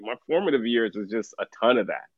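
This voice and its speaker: Low-voiced middle-aged man telling stories. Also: brisk, no echo, dull audio. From Trinidad. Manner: relaxed storytelling, laughs often. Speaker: author guest. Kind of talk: casual podcast conversation.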